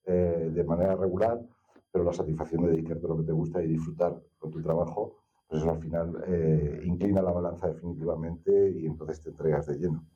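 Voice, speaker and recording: Older-ish spanish guy getting interviewed, really dark husky voice, thoughtful, rambles and hesitates. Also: deep voice, little pitch movement, a little fast. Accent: Spain. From Castilla-La Mancha, Spain. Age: middle-aged or older. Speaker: interview guest, naturalist. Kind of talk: reflective conversational interview. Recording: slightly dull, lo-fi sound.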